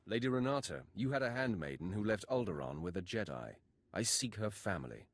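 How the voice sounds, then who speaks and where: An actor playing a cold, confident male dark warrior in a video game, terse, imperious and threatening. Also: on the low side, sing-song, fairly quick, no echo. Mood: cold menace. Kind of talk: video game cutscene acting.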